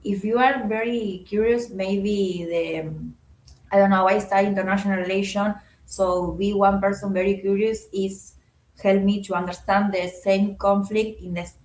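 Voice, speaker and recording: A young Argentine woman speaking halting, non-native English with a Spanish accent, answering interview questions on a video call and laughing often. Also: lowish voice, reverberant, rough recording. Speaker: guest speaker.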